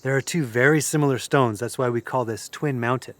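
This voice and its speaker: A man's voice: mid-range voice, brisk, a bit bright, dry recording, close to an everyday voice. An actor playing a brash, take-charge young man in an audio drama, talking in a casual, coarse way. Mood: casual, leading.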